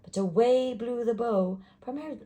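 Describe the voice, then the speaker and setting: A woman reading a rhyming picture book aloud with an expressive, rhythmic delivery. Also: fairly high pitch, measured pace. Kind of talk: storybook read-aloud.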